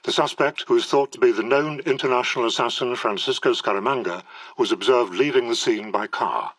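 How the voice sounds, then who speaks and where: An actor playing a sober British radio newsreader, measured and formal in delivery. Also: male voice, mid-range voice, fairly bright tone, no echo. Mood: sober, neutral. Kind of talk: radio news bulletin.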